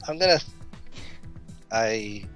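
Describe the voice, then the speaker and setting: Man, bright tone, casual game player, faint music behind. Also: lowish voice, animated, takes it slowly. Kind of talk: casual chat in a game session.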